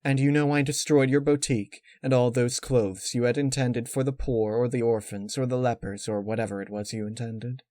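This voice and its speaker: A man voicing a scheming young female pony, smug and taunting in a measured way, in a dark storybook reading. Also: medium pitch, fairly even intonation, no echo, pitched above the performer's own voice, lightly acted.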